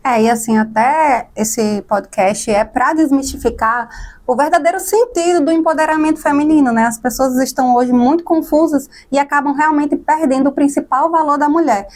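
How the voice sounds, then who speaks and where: High-voiced enthusiastic podcast host woman. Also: moderate pace, a little mellow. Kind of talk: casual podcast conversation.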